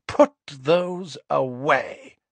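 Guy doing a cold posh mom, icy and threatening, pitched up. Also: extremely slow, creaky, dry recording, exaggerated voice. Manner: cold, menacing. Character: cold, haughty aristocratic mother.

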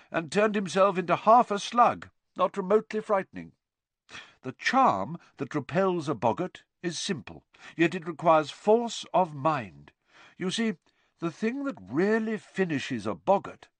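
Guy doing a kind cheery teacher, voice pitched up and bouncy, patient and encouraging. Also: dry recording, full character voice. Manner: patient, encouraging, gently theatrical. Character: kindly, cheerful male professor, adult teacher. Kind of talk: audiobook character voice.

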